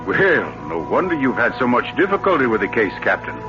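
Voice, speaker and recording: An actor playing a suave, theatrical mystery writer who tells a sinister tale in an urbane, deliberate, dramatic 1940s radio style. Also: male voice, medium pitch, big pitch swings, lightly acted. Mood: self-assured, smooth. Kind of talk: 1940s radio thriller acting. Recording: muffled old radio recording with music.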